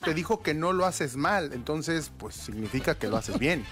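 Higher-voiced Mexican man hosting sports chat. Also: lively intonation, normal speed, music in the background. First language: Spanish. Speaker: host presenting sports news segments.

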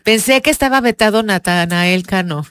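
A woman's voice: mid-range voice, moderate pace, a bit bright. A woman hosting a radio show, chatting casually with her co-host and the audience and reading out the time before a break. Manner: conversational, affirming, reads time cues.

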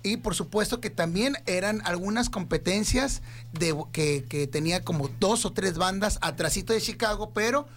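High-voiced Mexican radio host, playful. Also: male voice, expressive, moderate pace, fairly bright tone. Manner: playful, laughs often, rambling. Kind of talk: casual radio banter.

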